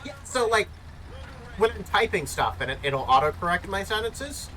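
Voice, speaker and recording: A man's voice: high voice, normal speed, a little hiss or hum, poor audio. A young man chatting casually and jokingly while gaming, swearing freely. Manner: animated, jokey, swears freely. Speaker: gaming streamer.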